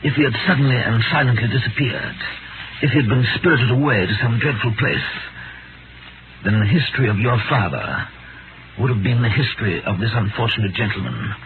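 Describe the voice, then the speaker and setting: An actor playing a discreet English gentleman from London who narrates a 1930s radio drama in a measured, solemn manner. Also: medium pitch, animated, noisy background, lightly acted. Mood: grave, gentle. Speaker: narrator and character. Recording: narrow-band 1930s radio recording with music.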